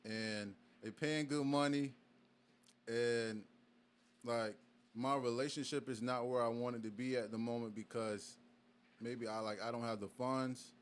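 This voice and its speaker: A man's voice: medium pitch, steady tone, a little slow, smooth. A young man from Virginia, a guest artist in a casual podcast interview, speaking in a laid-back way with many fillers and restarts. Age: young adult.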